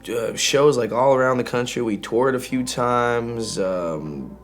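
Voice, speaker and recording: A man from Greece, an indie singer-songwriter, talking casually and candidly in an interview in an informal, halting, slangy way. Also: mid-range voice, soft background music.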